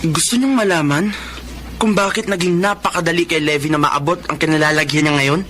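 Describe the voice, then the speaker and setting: A man's voice: fairly high pitch, brisk, fairly bright tone, slight background noise, lightly acted. A man acting an earnest young adult son with a Filipino accent and emphatic, emotional delivery in a radio drama. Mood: resentful, pleading.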